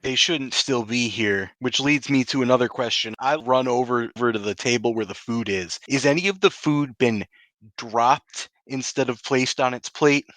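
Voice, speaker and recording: A man's voice: mid-range voice, normal speed, fairly bright tone, no room sound, low-quality recording. A man casually roleplaying and narrating his character's actions as a player in a tabletop game.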